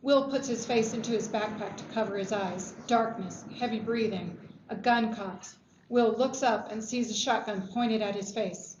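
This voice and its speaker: A woman's voice: medium pitch, normal speed, a little mellow. A woman reading out stage directions as scripted narration at a screenplay table read. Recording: echoey, dull, faintly noisy room recording.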